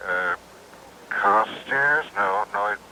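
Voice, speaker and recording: Absent-minded male doctor, fussy, hesitant, animated pitch, vintage radio drama, hissy old recording. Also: takes it slowly. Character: dithering, genial doctor, forgetful male headmaster type. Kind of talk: 1950s radio drama acting.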